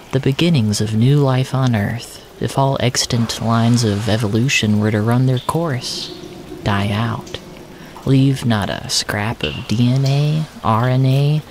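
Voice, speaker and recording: A man narrating surreal first-person audio fiction in a deliberate, descriptive way, often in short fragments. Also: mid-range voice, background noise.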